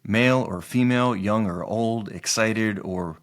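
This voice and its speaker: A man narrating a step-by-step software tutorial as its host, explaining each part in an instructional way. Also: lowish voice, unhurried.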